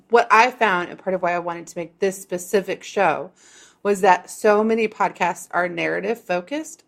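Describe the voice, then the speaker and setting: Chatty woman podcast host, lowish voice. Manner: chatty, quick, laughs between phrases. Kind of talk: casual podcast conversation.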